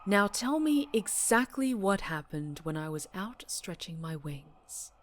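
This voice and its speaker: Slow, menacing superhero captor acted by a woman. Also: mid-range voice, big pitch swings, slight background noise. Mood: stern interrogation. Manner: slow, menacing, condescending, breaking into whispers and shouts. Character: obsessive, domineering female superhero captor, menacing and volatile. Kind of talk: dramatic ASMR roleplay.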